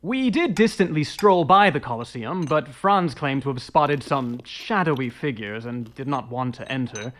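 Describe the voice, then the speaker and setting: An actor playing a boastful, naive young French viscount in an audio drama, theatrical and full of exclamations. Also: male voice, mid-range voice, lively intonation, normal speed, hardly any pauses, fairly bright tone, natural voice. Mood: talkative. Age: young adult.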